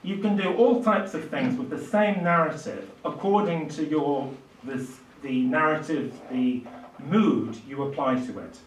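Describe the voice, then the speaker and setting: An older man giving a public lecture off the cuff, in halting, stop-start phrases with emphatic stresses. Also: on the high side, a little hiss or hum. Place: small indoor lecture room at a lectern. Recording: echoey room, distant microphone.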